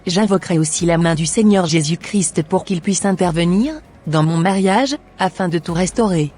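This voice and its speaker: A woman playing a devout wife, husky, lowish. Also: normal speed, dark tone, soft background music. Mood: distressed, resolute. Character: devout, troubled wife praying for her marriage.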